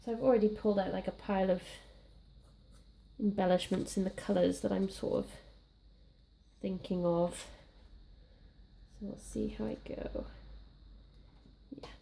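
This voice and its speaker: A young Welsh woman, a little croaky from being sick, chatting casually and rambling while she works on a scrapbook page. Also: medium pitch, fairly even intonation, unhurried, pauses often, dark tone. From Wales. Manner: chatty, rambling, self-deprecating. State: slightly croaky from illness. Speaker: scrapbooking crafter. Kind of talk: casual crafting chat.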